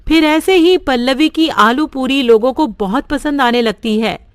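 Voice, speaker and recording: Brief: female storyteller, plain steady narration, higher voice, dry recording. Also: unhurried, smooth, no echo. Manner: steady, simple storybook telling.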